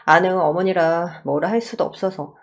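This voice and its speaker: A woman's voice: on the low side, normal speed. A woman reading a scripted first-person story as a dramatized narration.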